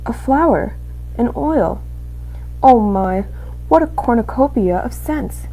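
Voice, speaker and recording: A woman's voice: on the high side, animated, slow, vocal fry, warm tone, voice pushed up, full character voice, low-quality recording. A woman voicing a sinister, gleeful male rat in a storybook, savoring each phrase with theatrical self-questioning. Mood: gleeful relish. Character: devious one-eared male dungeon rat, gleefully sinister.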